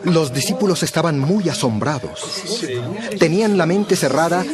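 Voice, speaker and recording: A man narrating a dramatized Bible story in a measured, expressive storytelling style. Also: a little high, a loud crowd.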